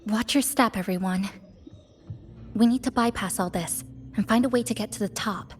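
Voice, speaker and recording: A woman's voice: medium pitch, normal speed, slight background noise, lightly acted. A woman voicing a focused, cautious young female expedition guide in a video game, earnest and purposeful. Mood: alert.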